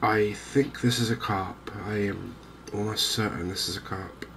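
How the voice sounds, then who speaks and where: Soft-spoken, slow, gravelly low-voiced man. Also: steady tone. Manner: hushed, hesitant, unhurried. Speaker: gaming video host. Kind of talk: softly spoken ASMR game commentary. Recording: clear background noise.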